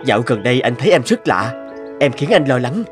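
An actor voicing a courteous, devoted rich young boss and boyfriend in a cartoon drama, affectionate and earnest. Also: male voice, medium pitch, sing-song, a little fast, close to an everyday voice. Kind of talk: animated drama dubbing. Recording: music clearly under the voice.

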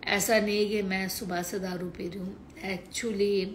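Slow, hoarse, foul-mouthed woman ranting. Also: medium pitch, flat delivery, slight background noise. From Haryana, India. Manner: crude and combative, heavy swearing. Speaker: live streamer. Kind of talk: casual late-night live rant. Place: at home. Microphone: phone.

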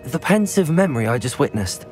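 A man's voice: mid-range voice, very animated, fairly quick, darkish tone, soft background music, lightly acted. An actor playing an earnest young wizard student who reports urgent news to his elders.

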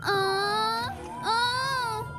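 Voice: very high voice, fairly even intonation, very slow, full character voice. A performer voicing an eager, helpful child rescue motorcycle in a children's cartoon, earnest and concerned. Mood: worried. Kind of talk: animated children's cartoon dubbing. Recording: music behind the voice.

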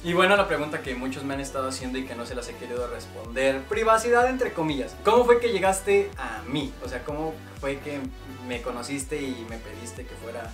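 A young man hosts a casual home vlog, talking in a chatty, upbeat way at a middle pitch. His voice carries a roomy echo from the small room. Music plays clearly behind him. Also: brisk. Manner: lively, chatty, asks questions. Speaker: YouTuber host, her editor and manager.

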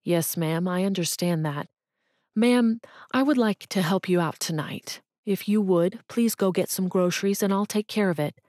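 A calm, kind businessman, voiced by a woman reading. He speaks gently and evenly in a lowish voice. The sound is close and dry. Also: no room sound, natural voice. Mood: gentle. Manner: gentle, measured.

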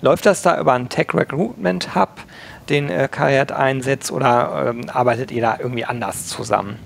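A man's voice: medium pitch, fairly quick, creaky, a little hiss or hum. A man hosting a podcast interview, talking casually with many hesitations. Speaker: podcast host.

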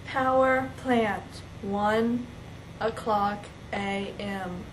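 A woman's voice: mid-range voice, steady tone, very slow, noisy background. A young teenage girl narrating scripted scene announcements. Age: young teen.

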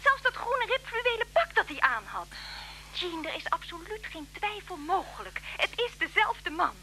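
A woman's voice: very high-pitched, big pitch swings, normal speed, bright, forceful tone, exaggerated voice. An actress playing an urgent, pleading woman friend on the telephone in a Dutch radio play. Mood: anxious, insistent. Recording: humming, noisy old radio recording.

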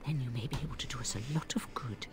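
A woman's voice: a bass voice, fast. An actress playing a blunt, weary old woman, a village healer in a fantasy video game. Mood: weary, gruff. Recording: music and ambience behind.

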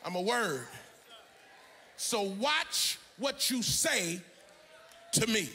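High-pitched, forceful American preacher man. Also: big pitch swings, drawn-out words, a little hiss or hum. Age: middle-aged. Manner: emphatic, theatrical, often shouting and half-singing. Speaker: pastor. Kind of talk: animated sermon to a congregation. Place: large church auditorium, on stage. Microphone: handheld microphone.

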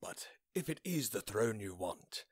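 Man as a low, slow, sly villain. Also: very animated, dry recording, studio quality. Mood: smug, taunting. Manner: slow, hushed, taunting. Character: sly, condescending aristocratic male villain. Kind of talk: fantasy drama acting.

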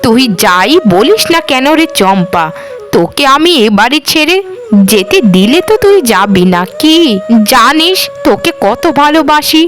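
Elderly female cartoon employer, acted by a woman, high swooping voice, nagging, affectionate, drawn-out phrases. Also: sing-song, fairly bright tone, faint music behind, full character voice. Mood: fond grumbling. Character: elderly lady of the house, nagging but fond. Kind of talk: cartoon voice acting.

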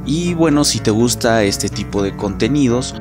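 A man's voice: medium pitch, lively intonation, moderate pace. A man hosting a channel, casually addressing his viewers. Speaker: channel host. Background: plucked guitar music.

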